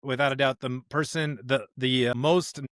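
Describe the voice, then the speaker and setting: A man's voice: medium pitch, fairly even intonation, fairly quick, a bit bright, no room sound, clean studio sound. An American man, a pastor co-hosting a podcast, speaking in an upbeat, emphatic way as he introduces and chats casually. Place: studio.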